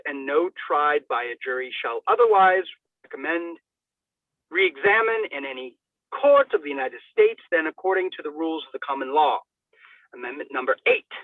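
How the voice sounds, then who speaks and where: Phone-like narrow audio, dry, male reader, high voice, theatrical declamation, formal document reading. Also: no echo. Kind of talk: dramatic reading of a legal document. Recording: thin phone-like radio sound.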